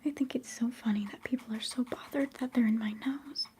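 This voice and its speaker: Young woman, close-mic whisper, chatty, slightly high, mellow, faint background noise. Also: fairly even intonation, fairly quick. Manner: soft whispering, chatty and giggly. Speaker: livestream host. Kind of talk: whispered ASMR live chat.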